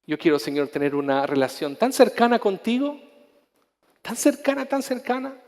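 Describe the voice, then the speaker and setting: Impassioned Chilean pastor in a reverberant hall. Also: male voice, high-pitched, sing-song, normal speed. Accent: Chilean Spanish. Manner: impassioned preaching with repetitions and restarts; soft, intimate prayer. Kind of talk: sermon ending in prayer.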